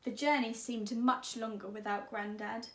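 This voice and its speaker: A woman teacher reading a children's story aloud, expressively and deliberately, drawing out words. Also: a little high, steady tone, echoey room.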